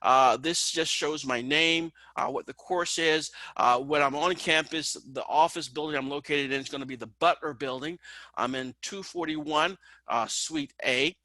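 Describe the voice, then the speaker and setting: A man's voice: fairly high pitch, moderate pace, dry recording. A man teaching a college course online, calling the roll and walking through the syllabus with frequent fillers. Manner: relaxed, many "uh" fillers, calling out names. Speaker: college instructor. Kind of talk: online class intro and syllabus walkthrough. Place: online video class.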